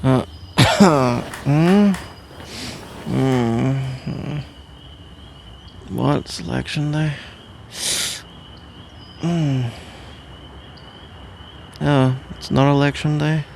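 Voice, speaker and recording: Creaky-voiced sleepy, rambling husband played by a man. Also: medium pitch, very slow, pauses often, darkish tone, slight background noise. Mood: groggy, confused. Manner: rambling, mock-indignant, bantering. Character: sleepy, grumbling, playful husband coaxing his spouse. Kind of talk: ASMR roleplay, improvised-sounding one-sided conversation.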